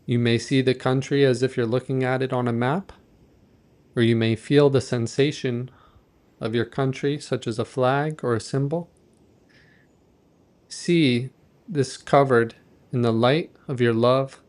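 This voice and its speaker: Gentle man guiding a meditation. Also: mid-range voice, steady tone. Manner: gentle, measured, instructing softly. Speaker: meditation guide. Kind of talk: guided meditation narration.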